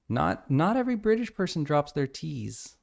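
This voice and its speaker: A man's voice: fairly high pitch, gravelly. An American man streaming his game development live, thinking aloud and reading lines of code as he types. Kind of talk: casual live coding stream.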